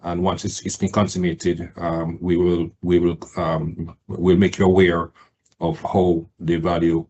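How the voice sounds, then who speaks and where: A man speaking as a company executive on an earnings call, answering in formal, hesitant, measured phrasing. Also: sits low, flat delivery, a little mellow, dull audio, slight background noise.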